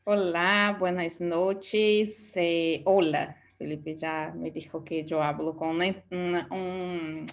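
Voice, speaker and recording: A woman's voice: fairly low pitch, fairly even intonation, slow, hardly any pauses, lo-fi sound. A woman from Brazil with a Brazilian Portuguese accent, a native Portuguese speaker giving an online lecture as an aesthetics instructor and searching for words in her second language.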